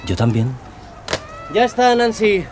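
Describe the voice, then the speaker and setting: An actor playing a charming young male serial killer in an audio drama, with soft-spoken, falsely tender politeness and a menacing edge. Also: sits high, sing-song, slow, over music, heavily acted. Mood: sweet, deceptive, then polite menace.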